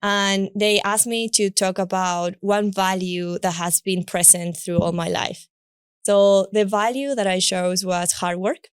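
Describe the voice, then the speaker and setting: Peruvian-accented woman, podcast guest, clear smooth tone, cheerful storyteller. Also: medium pitch, dry recording, studio quality. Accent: Peruvian Spanish. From Peru. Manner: upbeat, warm storytelling with laughs. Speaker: guest, head of solutions design. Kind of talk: casual podcast conversation.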